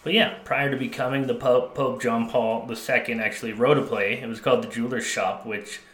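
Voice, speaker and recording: A man hosting a comedy podcast with a bright, forward voice, trading jokes and deadpan bits. The sound carries the echo of a live room. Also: medium pitch, brisk. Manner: joking, laughing, deadpan bits. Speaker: podcast co-host. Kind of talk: casual comedic podcast chat.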